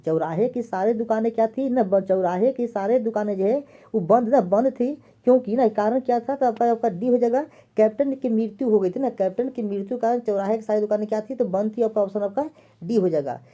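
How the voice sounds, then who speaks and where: Woman exam tutor, very dark voice, muffled lo-fi audio, goes through answers and repeats each one. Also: fairly high pitch, brisk. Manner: repeats each question and answer several times. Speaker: exam prep teacher. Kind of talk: answer key walkthrough.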